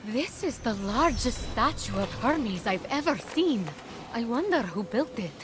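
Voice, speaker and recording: A brave young warrior heroine in a fantasy game, with a high voice that swings widely in pitch. She is eager, earnest and expressive, with strong music playing behind her. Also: measured pace. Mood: eager, wondering. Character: Fenyx, eager, brave young female Greek soldier. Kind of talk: video game character acting.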